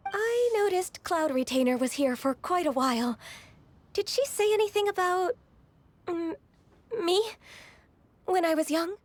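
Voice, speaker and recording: An actress playing a gentle, anxious, deferential young woman in a video game, speaking hesitantly and politely. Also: very high voice, expressive, a little slow, pauses often. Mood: nervous. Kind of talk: video game character acting. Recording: light music behind.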